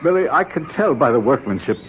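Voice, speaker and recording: An actor playing an older archaeologist uncle, patient but stern, with a measured, admonishing manner in an old-time radio drama. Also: male voice, mid-range voice, animated, close to an everyday voice. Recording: dull vintage radio recording, faint noise.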